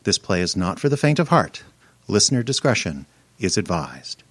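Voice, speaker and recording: A man hosting live radio theater, giving a scripted, theatrical introduction with measured delivery and dramatic pauses. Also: low-pitched, very animated, a bit bright, dry recording. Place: room in a home.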